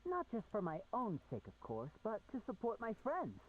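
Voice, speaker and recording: A woman's voice: medium pitch, wildly swooping pitch, moderate pace. A woman voicing a weary young male stage magician, polite and theatrical, in a fan-dubbed game on tinny audio. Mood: surprised, agreeable. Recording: tinny phone-like audio, faint noise.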